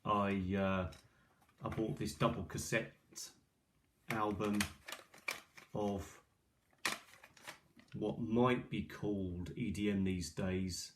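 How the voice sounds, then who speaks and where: A man's voice: lowish voice, fairly even intonation, takes it slowly, long pauses. A middle-aged man giving a casual, rambling show-and-tell at a kitchen table, hesitant and musing aloud, recorded on an old tablet's microphone. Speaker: video maker presenting old cassette tapes. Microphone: built-in microphone of an ageing iPad. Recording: clearly noisy tablet recording with clicks.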